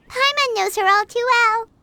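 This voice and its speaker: A woman voicing a tiny, childlike, eager fairy companion in a video game, squeaky and exclamatory. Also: heavy background noise, full character voice. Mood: excited. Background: game music.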